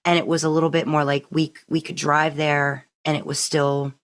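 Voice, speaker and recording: Podcast host, woman, low creaky voice, animated and conversational storytelling. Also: moderate pace. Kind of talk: casual conversational interview.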